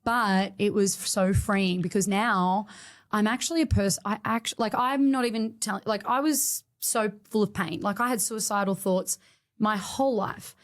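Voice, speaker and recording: A woman's voice: medium pitch, moderate pace. A woman, a studio owner telling her story with feeling in a podcast interview. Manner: emotional, emphatic storytelling.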